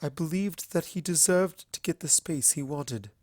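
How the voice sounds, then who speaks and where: A narrator voicing a regal, guarded older female alicorn mentor in a story, speaking formally with hesitant, measured phrasing. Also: medium pitch, darkish tone, no echo, studio quality, voice pushed up, close to an everyday voice.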